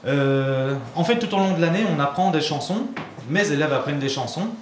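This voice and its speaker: A man's voice: on the high side, fairly quick. A man answering interview questions as a guitar teacher, explaining things informally in casual conversation. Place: indoor studio. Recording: roomy echo, clear background noise.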